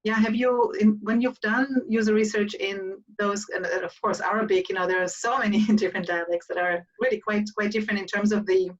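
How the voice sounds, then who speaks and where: A woman's voice: mid-range voice, steady tone, fairly quick, hardly any pauses, recorded from a distance, lo-fi sound. A woman whose first language is Hebrew, interviewing a guest in a loose, rambling way with many restarts and "right?" tags. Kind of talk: casual panel interview.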